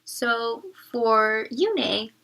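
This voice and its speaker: Bright-voiced woman reading a storybook aloud. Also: on the high side, animated, very slow, gravelly, low-quality recording. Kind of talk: interactive children's read-aloud with questions and word explanations.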